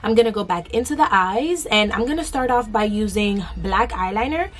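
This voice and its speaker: A young woman with a raspy, hoarse voice after losing it, chatting casually through a makeup tutorial and giggling now and then. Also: mid-range voice, lively intonation, normal speed. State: hoarse, lost voice. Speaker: beauty vlogger.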